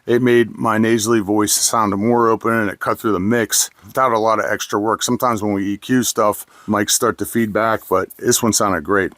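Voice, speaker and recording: A man's voice: on the low side, a bit bright, no room sound. Middle-aged man, nasal voice, casual reviewer, dry close sound. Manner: plain, friendly, conversational. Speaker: product reviewer. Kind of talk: product review.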